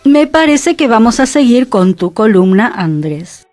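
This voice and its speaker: A woman's voice: fairly high pitch, sing-song, measured pace, a little mellow, faint music behind. A woman co-hosting a conversational radio programme. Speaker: radio co-host.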